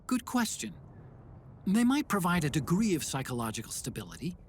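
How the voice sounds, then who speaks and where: A man's voice: high voice, very animated, fairly quick, a little hiss or hum. An actor playing an earnest, brainy male tech expert in a video game, speaking in an explanatory way. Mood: earnest, concerned. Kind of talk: video game cutscene acting.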